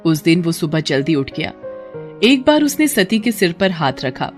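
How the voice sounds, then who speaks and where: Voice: mid-range voice, sing-song, moderate pace. Scripted cartoon storyteller over music. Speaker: narrator.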